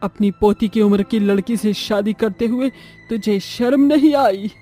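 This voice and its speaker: A man's voice: high voice, a little slow, warm tone, faint music behind. A man voicing a fiercely protective, vengeful ghost mother turned witch, with menacing dramatic delivery in a horror cartoon. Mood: vengeful wrath. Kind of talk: Hindi horror cartoon acting.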